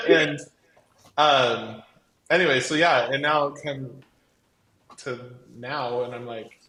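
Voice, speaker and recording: A man's voice: mid-range voice, slow, bright, forceful tone. A man with a voice that carries, chatting casually and effusively as a guest on a live stream, telling his own story with lots of "like". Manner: chatty, effusive, peppers talk with "like". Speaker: guest, crafting company co-founder. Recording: roomy echo, faint background noise.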